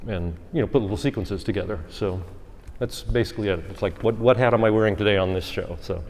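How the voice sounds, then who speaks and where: A man's voice: fairly low pitch, quick pace, a little mellow, slight background noise. A man speaking as a panelist in loose, filler-heavy casual talk. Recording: reverberant convention hall.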